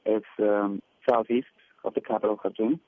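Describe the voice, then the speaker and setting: A man in his twenties from Sudan speaks as a musician guest, low-voiced and halting. He stops often with fillers and restarts. The sound is dry and thin, like a phone line. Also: fairly even intonation, dry recording. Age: 20s. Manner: hesitant, many fillers and restarts. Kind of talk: phone interview.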